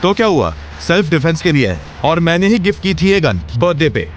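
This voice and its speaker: A man's voice: on the high side, sing-song, a little fast, slight background noise. A man playing a stern, indignant police commissioner who scolds in an audio drama. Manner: commanding, scolding.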